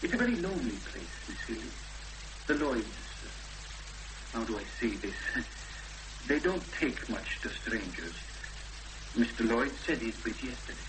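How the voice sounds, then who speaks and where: An actor playing a cautious, courteous rural policeman with a Welsh accent and a halting official delivery in a 1970s radio drama. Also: medium pitch, very animated. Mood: wary, advising. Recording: old radio recording, loud hum and noise.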